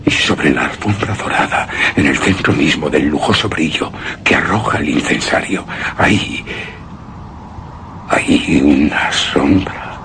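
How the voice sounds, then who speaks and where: An actor playing a haunted, anguished husband who narrates a gothic horror tale in a radio drama, with heavy dramatic pauses. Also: male voice, a bass voice, expressive, noisy background, full character voice. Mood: fearful, bewildered. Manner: slow, brooding, dramatic delivery with heavy pauses.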